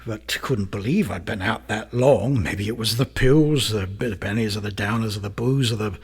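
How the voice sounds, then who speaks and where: An actor playing a guilt-ridden, drug-addled London murderer giving a halting, theatrical confession in a radio drama. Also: male voice, fairly low pitch, husky. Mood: dazed, defensive.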